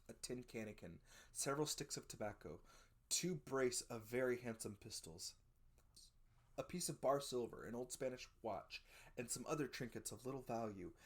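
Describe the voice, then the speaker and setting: A narrator giving a dramatic scripted reading of a classic adventure story, with expressive, theatrical emphasis. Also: medium pitch, moderate pace. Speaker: audiobook narrator.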